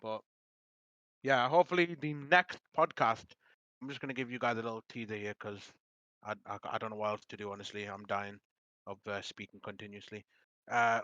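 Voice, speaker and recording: A young man rambles through a casual podcast chat, laughing often and repeating his plugs. His voice is bright and forceful, and it sounds strained as he loses it. The sound is close and dry. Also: medium pitch, fast talker, no room sound, highs cut off. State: losing his voice. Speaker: podcast host.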